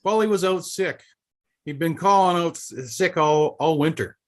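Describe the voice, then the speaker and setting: A man's voice: a little high, normal speed, lightly acted. A man playing a placating, matter-of-fact mob henchman in a live table read of a film script.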